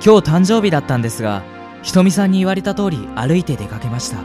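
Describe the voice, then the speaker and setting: A young man playing a gentle, sentimental university student in a radio drama, with an earnest, polite manner. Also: on the high side, big pitch swings, quick pace. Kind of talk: radio drama acting with narration. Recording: music bed under the voice.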